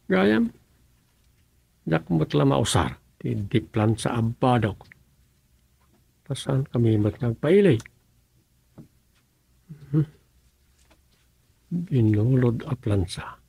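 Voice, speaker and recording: An actor playing a put-upon husband in an Ilocano radio comedy drama, with an Ilocano Filipino accent and a gravelly, husky voice. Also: male voice, mid-range voice, dark tone, no echo, full character voice.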